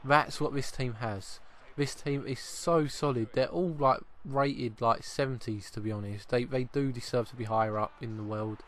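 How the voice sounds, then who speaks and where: A man, slightly croaky with a cough, giving chatty football video game commentary and often repeating his first words. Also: medium pitch, moderate pace, hardly any pauses, fairly bright tone, a little hiss or hum. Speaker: gaming channel host.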